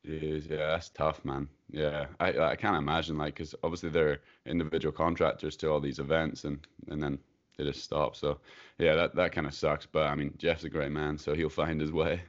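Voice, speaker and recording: A young Scottish man co-hosting a podcast, easygoing and teasing, with a gravelly voice. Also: a bass voice, little pitch movement, normal speed. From Scotland. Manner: easygoing, teasing, laughs often. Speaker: podcast co-host. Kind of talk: casual podcast chat. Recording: dull lo-fi remote call audio.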